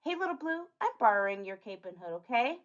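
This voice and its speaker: A woman's voice: a little high, big pitch swings, brisk, pitched above the performer's own voice. A woman voicing a cheeky, questioning little girl in a storybook, on a dull recording. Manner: questioning, sassy. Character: cheeky, outspoken little fairy-tale girl.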